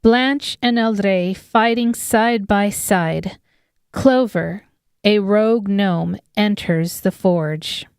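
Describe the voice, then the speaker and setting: A woman reads scripted fantasy narration for an audiobook. She speaks slowly in a clear, medium-pitched voice, with a very dry sound. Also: darkish tone, no echo.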